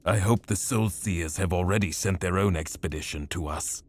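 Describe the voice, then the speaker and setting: Man playing a very deep stately reptilian warrior. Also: moderate pace, slight background noise, natural voice. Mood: commanding. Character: stately feathered reptilian warrior, diplomatic and devout. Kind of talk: audio drama acting.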